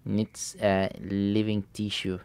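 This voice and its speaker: A young man who is sick, his voice deeper than usual, commentating a game and reading notes aloud haltingly, with English not his first language. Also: level tone, vocal fry, a little mellow, clean tone, no room sound. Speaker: gameplay streamer. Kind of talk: let's play commentary.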